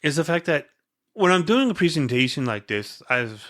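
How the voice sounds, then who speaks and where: A man's voice: a little high, sing-song, moderate pace, fairly bright tone. A man, a podcast guest, talking earnestly in a casual conversation, as if giving a short speech, with an occasional stutter. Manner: stutters at times.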